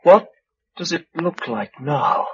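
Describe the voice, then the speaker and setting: A man's voice: on the high side, animated, slow. An actor with an English accent playing an inquiring blind male survivor in a vintage radio drama. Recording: dull, noisy old radio recording.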